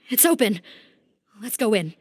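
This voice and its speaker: A woman voicing a dutiful, authoritative female police captain in an anime game, brisk in manner, with music underneath. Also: a little high, big pitch swings, a little mellow, husky, dry recording, heavily acted. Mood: serious, regretful.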